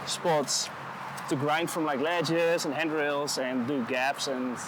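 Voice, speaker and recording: A man from the Netherlands with a Dutch accent, a music producer being interviewed while walking along a city sidewalk, talking in a laid-back, unhurried way and searching for his words. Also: on the high side, steady tone, background noise. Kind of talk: casual interview chat.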